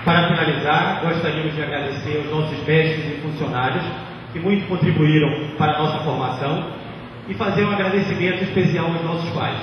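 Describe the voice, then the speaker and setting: A man's voice: a little high, fairly quick. A man, giving a humorous speech as a graduating student at a podium. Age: 20s. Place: large graduation ceremony at a podium. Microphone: microphone on a stand through speakers. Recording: echoey outdoor PA, muffled, distant, noisy.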